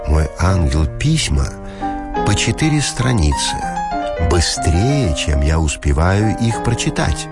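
A man narrating a literary audiobook expressively, with measured, dramatic phrasing and deliberate pauses. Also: low-pitched, very animated, loud music behind the voice.

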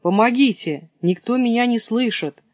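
A man's voice: very high-pitched, moderate pace, dry recording, voice pushed up. A man voicing a timid but brave young hare, polite and agitated as he speaks. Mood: desperate, determined. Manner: polite, agitated, apologizing even while insulting.

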